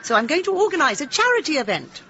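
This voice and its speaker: Fluttery high-voiced vicar's wife. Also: female voice, sing-song, quick pace, bright, forceful tone, exaggerated voice. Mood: confiding. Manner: polite, flustered, hesitant. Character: genteel, fluttery, kindly vicar's wife in an English village. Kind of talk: radio drama acting. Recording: dull, hissy radio drama recording.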